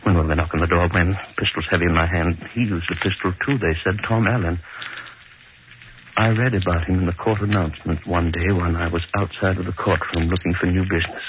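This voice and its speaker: A man's voice: sits low, steady tone, a little fast, natural voice. An actor playing a gloating, money-hungry old hangman in a brooding, obsessive 1940s radio horror monologue. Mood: greedy, gloating. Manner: brooding, obsessive, repeats his phrases. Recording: muffled, crackly 1940s radio broadcast recording.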